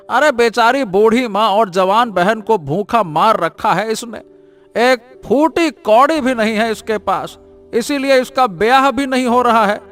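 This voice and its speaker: A man voices a haughty village bully in a cartoon folk tale. The voice is very high and drawn out, sneering and taunting, with music underneath. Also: fairly bright tone, smooth, heavily acted. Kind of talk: animated moral story.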